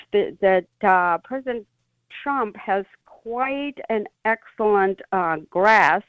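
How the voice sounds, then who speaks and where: A woman giving expert analysis as a radio interview guest, deliberate and emphatic, with halting phrasing. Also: medium pitch, dry recording. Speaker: expert guest, former intelligence officer. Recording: dull, band-limited radio guest line.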